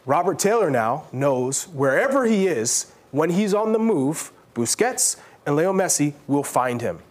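A man's voice: on the high side, sing-song, unhurried. A man who is a former player and soccer pundit, breaking down play on a sports panel with emphatic repetition. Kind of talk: analytical sports panel discussion.

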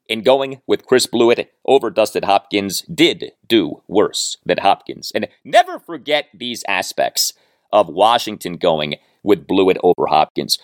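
A male sports podcaster delivers a solo monologue with very lively pitch movement. His phrasing is deliberate and emphatic, landing hard on key words. The voice sits at a medium pitch in a dry, close recording. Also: no room sound. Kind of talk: sports commentary monologue with read-out listener mail and ad reads.

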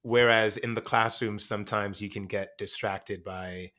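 Low-voiced reflective man, Jamaican-born. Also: fairly even intonation, a little slow, pushed and bright. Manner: reflective, many fillers and restarts. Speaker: actor and teacher. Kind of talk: casual interview conversation.

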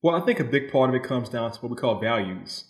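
A man's voice: mid-range voice, animated, quick pace. A man giving thoughtful, conversational answers as a novelist guest, explaining his thinking and voicing other people's views as he goes. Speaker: novelist guest being interviewed. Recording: roomy, echoing voice.

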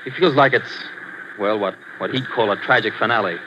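An actor playing a breezy, offhand young husband in a 1940s radio drama. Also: male voice, lowish voice, fairly quick, close to an everyday voice. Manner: offhand and casual. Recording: dull old radio broadcast with noise.

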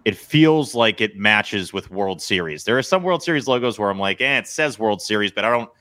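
A man's voice: fairly low pitch, expressive, brisk, sharp tone, no echo. An American man in his thirties talking casually and with opinions, like a sports podcast co-host. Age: 30s.

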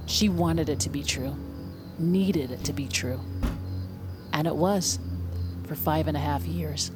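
An actress playing a woman who grimly looks back on her haunted childhood, narrating in a measured, somber way. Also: low-pitched, over music. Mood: somber, reflective. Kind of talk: scripted first-person drama narration.

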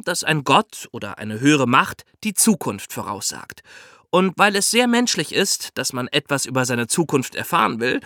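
A man co-hosting a podcast, asking questions and explaining history in a clear conversational narration. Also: fairly high pitch, bright, forceful tone, dry recording, clean studio sound. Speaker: podcast co-host.

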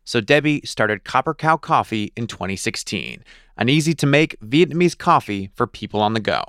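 A man hosting a podcast, reading scripted narration in a lively, playful storytelling way. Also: medium pitch, moderate pace, clean tone, no echo, clean studio sound.

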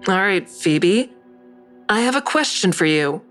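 A woman's voice: mid-range voice, expressive, a little slow, a bit bright, faint music behind. A woman playing a guarded, protective grown daughter in an audio drama, dry and confrontational. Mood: suspicious, challenging. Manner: dry, pointed, challenging. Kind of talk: full-cast audio drama acting.

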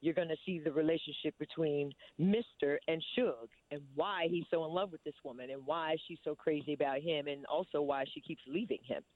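Woman, deep voice, laid-back actress, phone-line quality. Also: a little fast, few pauses, dry recording. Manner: laid-back, with frequent "you know". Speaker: stage actress. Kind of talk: casual interview chat.

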